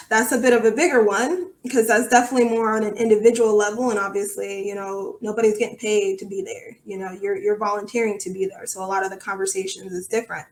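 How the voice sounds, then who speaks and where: Woman, mid-pitched voice, podcast guest, frank and emphatic, conversational, roomy echo. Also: little pitch movement, fast talker. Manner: outspoken, emphatic. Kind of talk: conversational interview answers. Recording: echoey room sound.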